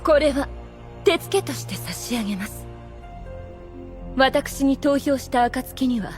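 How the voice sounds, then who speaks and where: High, dramatic, haughty demoness. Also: female voice, expressive, unhurried, a little mellow, soft background music. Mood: scheming, dramatic. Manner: imperious, refined feminine phrasing. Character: haughty, scheming, obsessively devoted demoness overseer. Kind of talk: anime audio drama acting.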